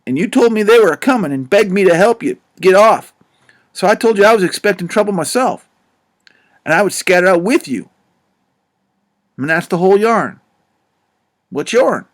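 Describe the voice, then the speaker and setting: A man's voice: high voice, expressive, moderate pace, pauses often. A man voicing a self-pitying young male swindler who talks in a theatrical, grandiloquent way in an audiobook. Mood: chatty. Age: young adult. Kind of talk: audiobook character voice.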